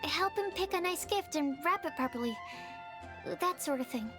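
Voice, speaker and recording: Earnest, very high-voiced young alchemist. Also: female voice, lively intonation, normal speed. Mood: helpful. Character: earnest, shy young female alchemist, polite and bookish. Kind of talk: video game cutscene dialogue. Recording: faint music behind the voice.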